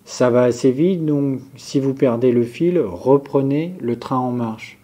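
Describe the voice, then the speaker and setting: Male meditation guide: mid-pitched, dark relaxed tone, unhurried, calm instructional delivery. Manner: calm, measured, instructive, listing step by step. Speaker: yoga nidra instructor.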